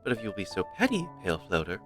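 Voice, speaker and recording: Guy putting on a posh royal lil game creature, super full of itself, slow n formal, lowish. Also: wildly swooping pitch, a bit bright, faint music behind. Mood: haughty, dismissive. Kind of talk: reading game dialogue in character.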